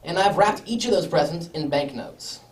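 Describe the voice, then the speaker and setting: A woman plays a courteous, moneyed lord in a radio drama, in a lowish voice. The delivery is formal and earnest with small hesitations, recorded in an echoey room away from the mic. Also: animated, moderate pace, recorded from a distance, lightly acted. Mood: earnest, worried. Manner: polite, formal, slightly hesitant. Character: well-off, courteous English lord, earnest client.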